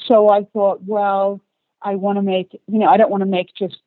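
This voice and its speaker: A woman's voice: medium pitch, flat delivery, moderate pace, no room sound. A woman in her forties, raised in Australia, giving long, chatty answers with light laughs in a podcast interview. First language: English. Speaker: actress guest. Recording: tinny phone-like line.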